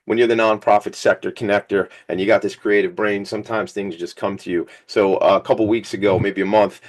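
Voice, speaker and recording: Male radio host, low voice gone a little scratchy, high energy, rambling hype-man chat. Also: little pitch movement, fairly quick. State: slightly hoarse, scratchy voice. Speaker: radio talk show host.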